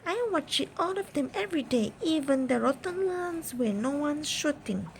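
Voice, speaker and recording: A woman's voice: high voice, lively intonation, normal speed, vocal fry, a little hiss or hum, pitched above the performer's own voice. A woman voicing a bratty, irritable nine-year-old boy in a children's storybook reading, snapping and exclaiming. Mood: annoyed, excited.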